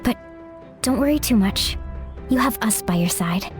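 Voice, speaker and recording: A protective warrior ally, played by a woman, fairly high in voice and full of assurance. She is encouraging and confident, a video game figure with some music behind her. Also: animated, normal speed, lightly acted. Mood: confident, reassuring.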